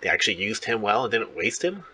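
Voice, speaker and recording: A man's voice: fairly low pitch, big pitch swings, fast talker, crowd noise, poor audio. A man hosting an interview in casual fan chat, talkative and full of opinions. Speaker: interview host.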